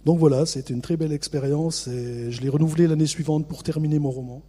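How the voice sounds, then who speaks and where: A middle-aged man from the Vosges with a local accent, an author answering interview questions in a relaxed, self-mocking way with many hesitations. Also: fairly high pitch, quick pace, slight background noise. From Vosges, France. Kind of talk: radio interview.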